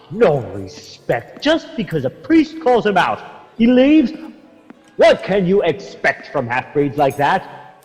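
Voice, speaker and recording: An actor playing an arrogant, easily offended priest, grumbling with contempt in a radio drama. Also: male voice, sits high, huge pitch swings, takes it slowly, full character voice. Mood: scornful, indignant. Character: arrogant, scornful, easily offended Spanish-era friar priest. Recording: long hall reverb, music underneath.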